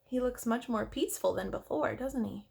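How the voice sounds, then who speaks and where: A woman reading a picture book aloud to children, expressive, with questions and asides to the listener. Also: fairly high pitch, moderate pace, gravelly, slightly rough. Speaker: storyteller for children.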